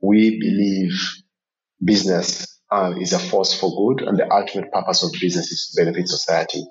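A man from Uganda giving thoughtful interview answers as a podcast guest, explaining in a measured, earnest way. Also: low-pitched, steady tone, breathy edge, recorded from a distance, lo-fi sound. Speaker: podcast guest, agribusiness founder.

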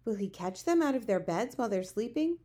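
A woman's voice: on the high side, animated, a little fast, mellow. A woman voicing a spirited young girl from a children's storybook, indignant and determined, speaking emphatically. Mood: anxious. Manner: emphatic, insistent. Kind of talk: children's storybook character reading.

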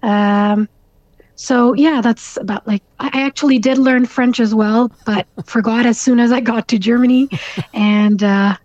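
A young woman from Canada whose first language is Urdu, answering interview questions thoughtfully with many fillers and soft laughs, heard over dull lo-fi audio. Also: on the high side, fairly even intonation, measured pace, dry recording. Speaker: guest author.

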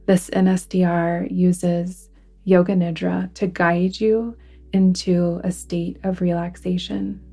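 A woman guiding a relaxation meditation in a soothing, deliberate manner, with phrases that sometimes fall to a hush. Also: fairly low pitch, little pitch movement, gravelly, slightly rough, soft background music. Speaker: yoga nidra guide. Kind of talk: guided relaxation meditation narration.

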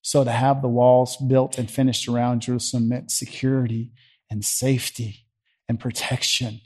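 A middle-aged man with a Southern US accent, preaching a conversational sermon from a church platform. He questions the congregation and moves between loud and quiet. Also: mid-range voice, level tone, normal speed, breathy edge.

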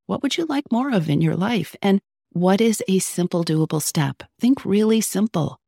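A woman hosting an advice podcast, talking with a sore throat, a little scratchy, earnest and coaching, slowing down on key points. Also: medium pitch, expressive, vocal fry, warm tone, no echo, studio quality. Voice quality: slightly scratchy. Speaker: podcast host, psychologist.

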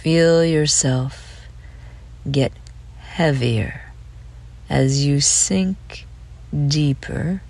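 A woman leading a guided relaxation meditation in a gentle, soothing way, with phrase ends trailing off into breath. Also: low voice, very slow, pauses often, husky.

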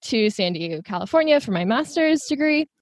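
A young woman hosts a podcast from a closet at home, her voice a touch high and the sound dry and close. She is upbeat and laughs easily, reading her questions in a bright, friendly way. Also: very animated, brisk, no room sound. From Houston, Texas. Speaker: podcast host. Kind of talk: scripted-sounding interview questions. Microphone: video call.

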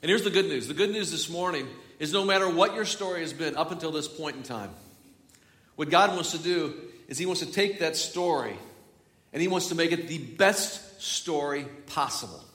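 A man's voice: on the high side, moderate pace, a bit bright. Male pastor, casual joking sermon delivery, long hall reverb. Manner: chatty, joking, with frequent restarts. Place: large church hall. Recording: echoey church hall from far off.

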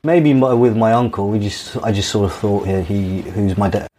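A man in his thirties who grew up in Dubai, telling his life story as a podcast guest, halting and restarting his sentences often. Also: on the low side, fairly even intonation, dark tone, a little hiss or hum. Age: 30s. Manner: halting, with many restarts and fillers.

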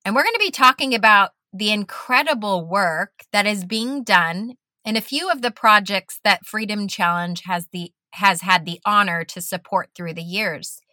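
A woman hosting a faith-based podcast interview, speaking effusively and warmly praising her guest. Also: medium pitch, no room sound, studio quality. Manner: effusive, praising, drawn-out phrasing. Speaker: podcast host.

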